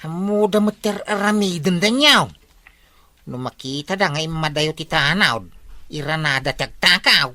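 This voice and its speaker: A woman with an Ilocano accent playing a brisk, cheeky member of a village night watch in a radio comedy. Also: deep voice, sharp tone, no room sound. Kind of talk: comedy radio drama acting.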